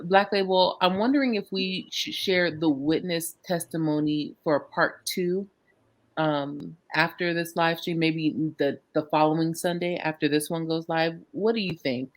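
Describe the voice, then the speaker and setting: Reaction show co-host, mid pitch, agreeable, slightly hesitant, talks casually and restates points. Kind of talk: conversational reaction commentary.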